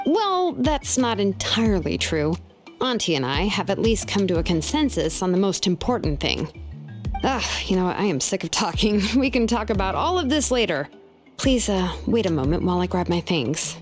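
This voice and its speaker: A woman's voice: mid-range voice, big pitch swings, normal speed, faint music behind, lightly acted. A woman playing a laid-back, thoughtful young female artisan in a video game, explaining things matter-of-factly. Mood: candid, weary. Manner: explains at length, matter-of-fact. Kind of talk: video game character dialogue.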